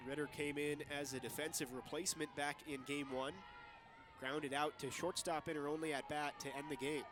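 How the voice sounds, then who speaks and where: A young man doing live softball play-by-play as the lead commentator, in clipped, present-tense calls. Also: a little high, little pitch movement, brisk, crowd noise. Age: young adult.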